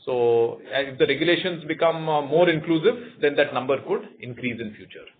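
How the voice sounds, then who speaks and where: A man with an Indian accent answering analyst questions as a company executive on a conference call, speaking in a hesitant, measured, formal way. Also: fairly high pitch, expressive. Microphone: conference call line. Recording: narrow tinny conference phone line, faint noise.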